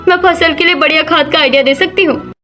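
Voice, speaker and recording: A woman's voice: sits high, steady tone, quick pace, pushed and bright, faint music behind. A woman voicing a thoughtful, hopeful young village daughter-in-law in a cartoon story.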